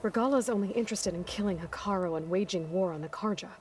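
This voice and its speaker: A woman's voice: medium pitch, fast, darkish tone, soft background music, close to an everyday voice. An actress playing a guarded, skeptical young warrior woman in a video game, blunt and questioning in her delivery. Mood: skeptical, probing. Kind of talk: naturalistic video game cutscene acting.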